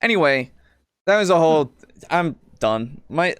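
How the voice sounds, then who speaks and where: Man, podcast co-host, casual talk, halting and rambling, dry close sound. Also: on the high side, lively intonation, clean tone, dry recording. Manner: rambling, halting, searching for words. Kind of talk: casual discussion.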